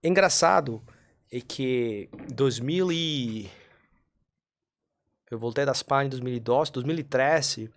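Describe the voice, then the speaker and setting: A man from Colombia speaks as a podcast guest with a clear Colombian Spanish accent. He talks in an earnest, measured way, at a middle pitch, and pauses now and then to find the right Portuguese word. He is a native Spanish speaker who tells his story at a calm, even pace. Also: slight background noise. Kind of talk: casual interview storytelling.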